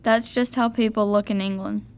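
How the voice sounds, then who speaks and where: A woman's voice: medium pitch, fairly even intonation, normal speed, darkish tone, smooth, no echo, lightly acted. A teenage female playing a practical, protective big sister in an amateur radio play, matter-of-fact in delivery. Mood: skeptical.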